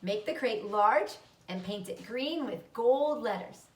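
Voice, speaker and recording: Proud male farmer for a storybook, read by a woman: bossy, emphatic, raised pitch, echoey room. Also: expressive, takes it slowly. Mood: commanding. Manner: emphatic, declarative orders. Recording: roomy echo, distant mic.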